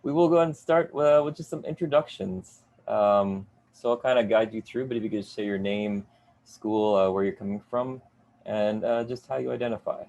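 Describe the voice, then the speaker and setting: A man's voice: lowish voice, moderate pace, muffled recording. A middle-aged man moderating an online panel from a home basement over a video call, upbeat with many "uh" fillers. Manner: upbeat, warm in addressing guests, frequent "uh" fillers.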